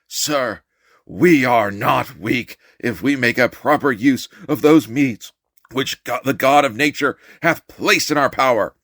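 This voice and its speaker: A man's voice: medium pitch, a little slow, a bit bright, dry recording, clean studio sound. A man performing a fervent American revolutionary orator in a dramatic reading, with an impassioned, declamatory, emphatic delivery. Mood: stirring, resolute. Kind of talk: dramatic reading of an 18th-century political speech.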